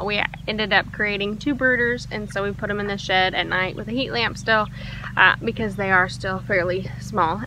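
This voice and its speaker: A young woman chats easily into her phone out in a sunny backyard, her talk loose and full of filler words. Her voice sits at an ordinary pitch for a woman, with a light hum of outdoor noise underneath. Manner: relaxed and chatty, many "um"s and soft laughs. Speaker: homestead vlogger. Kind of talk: casual vlog chat. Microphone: phone held at arm's length.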